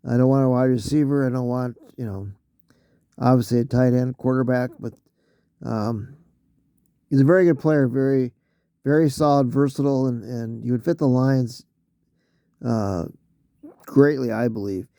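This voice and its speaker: A man losing his voice, talking casually through a solo mock draft, halting with fillers and often clearing his throat. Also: medium pitch, steady tone, warm tone, no room sound, clean studio sound.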